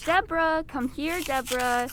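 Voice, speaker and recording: A woman playing a tiny young caregiver in an audio drama. Her voice is high, with a brisk, coaxing, unfazed delivery over faint rumbling noise. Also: a bit bright. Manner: coaxing, matter-of-fact.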